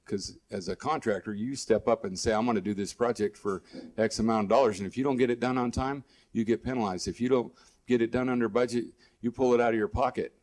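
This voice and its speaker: Plainspoken American man, folksy and halting. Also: medium pitch, fairly even intonation, a little mellow. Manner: plainspoken, folksy, repeats and restarts phrases often. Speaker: guest, local political candidate. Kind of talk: unscripted radio interview answers.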